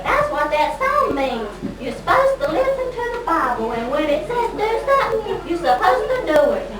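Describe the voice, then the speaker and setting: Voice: extremely high pitch, big pitch swings, normal speed, over a noisy crowd. A performer voicing an earnest childlike puppet, eager to please, in a church children's puppet show. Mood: cheerful, eager. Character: Red, earnest childlike puppet who wants to please Jesus. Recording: echoey hall from far, audience noise.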